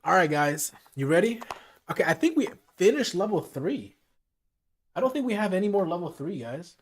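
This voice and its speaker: A man running a late-night live stream, talking casually and directly to his chat, with his voice gone hoarse from hours of talking. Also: sits high, very animated, moderate pace. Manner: casual, chatty, talks straight to his chat.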